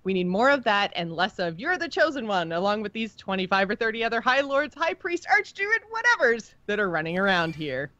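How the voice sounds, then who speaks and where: A woman's voice: medium pitch, very animated, fairly quick, hardly any pauses, pushed and bright, no room sound. Woman podcaster with dry, slightly dull sound. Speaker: podcast co-host. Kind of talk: casual chat about video game lore, reading listener email.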